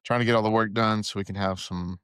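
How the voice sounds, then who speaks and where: A man co-hosting a casual sports podcast, easygoing and a little halting in his speech. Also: lowish voice, fairly even intonation, fairly bright tone, smooth, dry recording, studio quality. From Arkansas. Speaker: podcast co-host.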